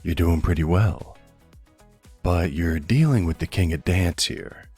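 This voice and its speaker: Man acting as suave middle-aged mob boss, very deep, gravelly, intimate, flirtatious, ASMR roleplay. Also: a little slow, a little mellow, soft background music, heavily acted. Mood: playfully cocky.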